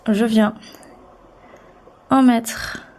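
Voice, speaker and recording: A woman's voice: on the high side, fairly even intonation, very slow, a little mellow. A young woman with a cold, sniffling as she chats bubbly and casually with many fillers through a beauty tutorial at home. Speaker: beauty vlogger. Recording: echoey room with faint noise.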